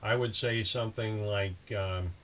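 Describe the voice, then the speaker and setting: A man's voice: on the low side, a little slow. A man hosting a boating video, explaining procedures in a casual, conversational instructional style. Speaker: host of a boating channel. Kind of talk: casual instructional talk with example radio calls. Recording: clear steady background ambient noise.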